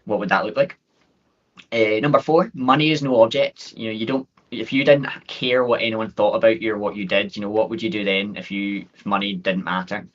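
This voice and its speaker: A man in his thirties with a thick Scottish accent, giving an upbeat, quick webinar talk full of fillers and restarts. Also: fairly low pitch, fairly even intonation. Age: 30s. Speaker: guest speaker. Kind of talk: webinar presentation. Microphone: video call. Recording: dull lo-fi call audio.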